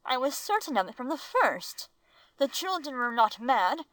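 A woman voicing a prim, fat older lady from a storybook, frightened and dramatic, with drawn-out, fussy delivery. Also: sits high, sing-song, fairly bright tone, no room sound, voice pushed up, low-quality recording. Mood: anxious certainty. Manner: slow, drawn-out, fussy exclamations. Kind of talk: storybook character reading.

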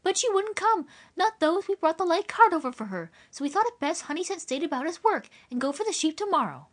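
Shrill, frantic countrywoman. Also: sing-song, a little fast. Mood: fretful. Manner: dialect grammar, breathless exclaiming. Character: frantic, scatterbrained rural working woman, easily panicked.

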